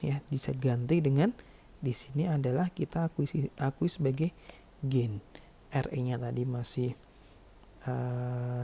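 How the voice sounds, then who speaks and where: A man's voice: mid-range voice, gravelly, mellow, slightly rough. A man explaining worked calculations step by step as a lecturer, often adding small check-in words. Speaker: accounting lecturer.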